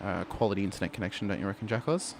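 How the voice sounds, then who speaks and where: A man giving lively play-by-play commentary on a sim race. He talks straight to the viewers and lifts his voice for the big moments. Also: fairly low pitch, racing, noisy background.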